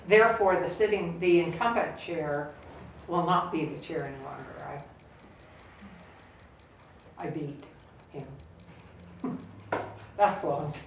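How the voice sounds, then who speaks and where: A woman from Washington State introducing herself as a candidate on a panel, halting at times with small laughs. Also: deep voice, a little hiss or hum. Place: public meeting room. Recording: echoey room from far off, dull.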